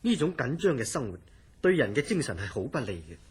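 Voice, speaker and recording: Horror radio play: male hospital director acted by a man, heavily husky, expressive pitch, grave and probing, Hong Kong accent. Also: fairly quick. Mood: probing, courteous. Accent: Hong Kong Cantonese. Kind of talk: Cantonese horror radio drama acting.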